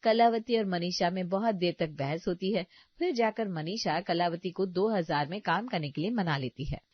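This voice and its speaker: A woman's voice: lowish voice, moderate pace, dry recording, dull audio. A woman reading scripted narration as a storyteller.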